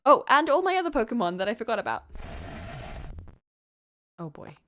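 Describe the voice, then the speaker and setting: A woman's voice: fairly high pitch, very animated, normal speed, fairly bright tone, slight background noise. A woman streaming a video game, playfully reading dialogue aloud and exclaiming in a theatrical way. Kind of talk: live game playthrough with dramatic reading of on-screen dialogue. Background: video game music.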